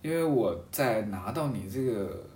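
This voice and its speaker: A man with a fairly low voice talks reflectively in an echoey room, set back from the mic with faint noise around him. He thinks aloud, halting and circling back over his phrases in casual conversation. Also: lively intonation, darkish tone, distant mic. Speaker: podcast guest talking about a hobby of seal carving. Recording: roomy echo, off-mic, faint background noise.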